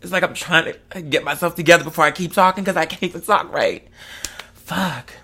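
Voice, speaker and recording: Casting: online host, twenties, medium-pitched voice, candid emotional monologue, heavy slang and profanity, emphatic repetition. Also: fairly quick, fairly bright tone. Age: 20s. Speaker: online content creator and live show host.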